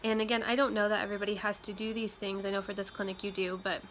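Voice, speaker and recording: A young woman talks casually at home, her voice a little nasal from a lingering chest cold. She rambles with frequent ums, unhurried and conversational. Faint road traffic and wind chimes drift in through open windows. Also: medium pitch, level tone, a bit bright. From Iowa. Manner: chatty, many ums, loose and rambling. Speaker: vlogger. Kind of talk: casual talk to camera.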